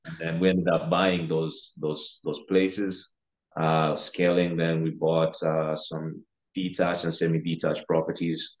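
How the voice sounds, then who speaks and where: A man's voice: extremely low pitch, little pitch movement, takes it slowly. A man in his thirties from Uganda, chatting casually as a podcast guest with hesitant, you-know-filled phrasing over a video call. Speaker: podcast guest, real estate investor. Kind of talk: casual interview chat. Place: living room, on a video call. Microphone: video call, sitting back from camera. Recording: dull, lo-fi video call audio.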